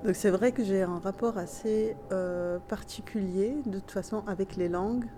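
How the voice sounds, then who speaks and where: A woman's voice: mid-range voice, fairly even intonation, warm tone, slight background noise. Hesitant woman with a Tunisian accent. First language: Tunisian Arabic. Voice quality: slightly nasal in French. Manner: hesitant and thoughtful, many pauses and restarts. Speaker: interviewee. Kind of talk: reflective interview answers.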